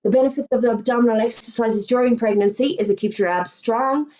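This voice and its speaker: A middle-aged woman with an Irish accent, a fitness instructor giving a webinar presentation, chatty, with quick asides and self-corrections, her voice going hoarse as she talks. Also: a little high, mellow, poor audio. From Ireland. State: losing her voice.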